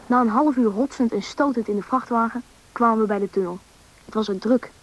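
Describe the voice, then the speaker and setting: A woman's voice: a little high, a little fast, natural voice. A woman playing a young storyteller who narrates a rescue adventure in a scripted radio play. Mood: narrating. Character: child companion telling the rescue story looking back. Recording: dull old broadcast, faint hiss.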